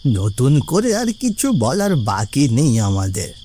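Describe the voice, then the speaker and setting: A man's voice: medium pitch, huge pitch swings, slow pace, warm tone, a little hiss or hum. A man playing a humble older village shopkeeper in a cartoon, respectful and pleading as he airs his grievance. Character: humble elderly village shopkeeper, deferential but aggrieved. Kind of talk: cartoon voice acting.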